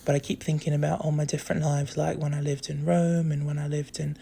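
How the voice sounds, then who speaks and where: A young adult speaking in a put-on British accent, rambling candidly and softly to followers into a phone, saying "like" often, with a husky, creaky voice that trails into breathiness. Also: medium pitch, little pitch movement, quick pace. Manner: soft, candid, meandering with frequent "like". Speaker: social media content creator. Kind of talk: casual rambling confessional chat to followers.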